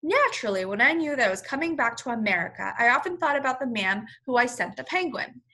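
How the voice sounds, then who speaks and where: Woman reading as hearty storybook admiral, bright voice. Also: a little high, expressive, quick pace, full character voice. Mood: genial, earnest. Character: hearty, kindly bearded male admiral and explorer.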